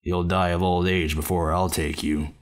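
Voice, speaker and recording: A man playing a stern, defiant, protective father in an audio drama, speaking tersely and deliberately. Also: low-pitched, level tone, natural voice.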